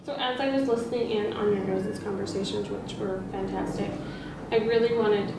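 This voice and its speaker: A woman's voice: medium pitch, steady tone, moderate pace. A woman training as a teacher, leading a read-aloud discussion with encouraging questions. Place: indoor room with background noise. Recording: roomy, distant mic, noisy classroom.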